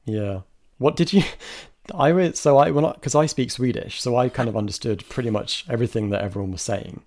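A man's voice: mid-range voice, fairly quick, a little mellow. A man co-hosting a casual film discussion, chatty and thoughtful, with frequent restarts and hedges. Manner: chatty, many restarts and hedges, bursts of laughter. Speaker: film podcast co-host.

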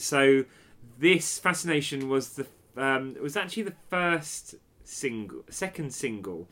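A man's voice: mid-range voice, fairly bright tone. A man co-hosting a podcast, chatting casually about an album with dry humour and supplying background facts. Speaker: podcast co-host. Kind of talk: casual chat reviewing an album.

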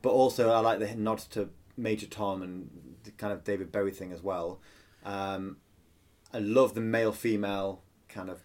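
A man with a somewhat low voice, co-hosting a casual music podcast. He talks with enthusiasm and playful wit, often pausing on fillers while he gathers his thoughts. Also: steady tone, a little mellow. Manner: enthusiastic, playful, with frequent hesitations. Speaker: podcast co-host. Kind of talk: casual chat reviewing an album.

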